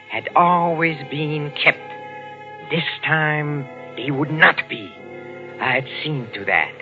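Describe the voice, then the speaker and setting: An actor playing a fussy henpecked husband turned gleeful murderer, theatrical and excitable in a vintage radio suspense style. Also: male voice, fairly high pitch. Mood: cold, confiding. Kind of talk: old-time radio suspense acting with narration. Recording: muffled vintage radio recording with music.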